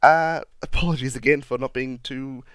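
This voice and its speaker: A man with a slightly croaky voice, giving a lively play-by-play voice-over commentary on a video game as the channel's host. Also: medium pitch, moderate pace.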